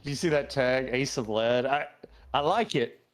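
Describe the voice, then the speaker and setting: A man's voice: medium pitch, normal speed. A man co-commentating a video game tournament stream, laid-back and self-mocking in his humor. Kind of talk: casual livestream game commentary.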